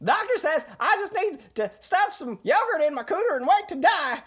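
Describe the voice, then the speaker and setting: A woman's voice: extremely high pitch, sing-song, normal speed, fairly bright tone, exaggerated voice. A woman playing a brash, crude junkie bird who rambles shamelessly in a comedy audio drama. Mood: rambling, shameless. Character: foul-mouthed junkie bird, brash moocher.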